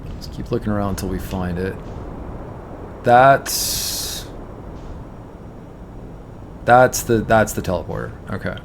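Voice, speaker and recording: A man's voice: on the low side, takes it slowly, vocal fry, long pauses, slight background noise. A man from Toronto with a Canadian accent streams a game in casual chat, thinking aloud and correcting himself, his voice tired. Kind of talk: casual let's-play chat.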